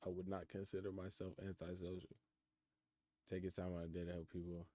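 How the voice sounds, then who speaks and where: Young man, nineteen, low voice, dry close sound; relaxed streamer musing on chat questions. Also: a little fast, no echo. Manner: laid-back, reflective, reads chat questions aloud and answers. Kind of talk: casual live-stream chat. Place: his room in college housing. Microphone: computer mic.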